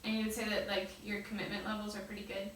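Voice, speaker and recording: A woman's voice: medium pitch, completely flat delivery, fast talker, a bit bright. Echoey, distant woman interviewer. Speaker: student interviewer. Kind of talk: interview reading research findings aloud. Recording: very echoey room, far mic, faint noise.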